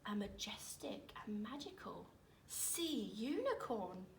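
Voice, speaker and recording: Slow, echoey woman reading a children's story. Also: mid-range voice, sing-song, gravelly. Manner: dramatic, deliberate phrasing with emphatic swells and hushes. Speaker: storyteller reading aloud, teacher. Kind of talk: expressive children's picture-book read-aloud in rhyme. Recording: roomy echo, distant microphone.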